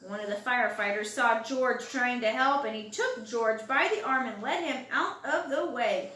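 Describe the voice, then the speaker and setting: A woman reads a children's storybook aloud in an expressive way, drawing out words for young listeners. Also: a little high. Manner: expressive, drawn-out words and loud acted exclamations for young listeners. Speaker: storybook reader. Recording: roomy home recording, distant mic.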